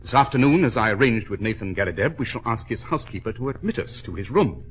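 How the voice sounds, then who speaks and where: An actor playing a shrewd, secretive English detective in an old-time radio drama, clipped and authoritative. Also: male voice, lowish voice, lively intonation, quick pace, husky. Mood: grave, urgent.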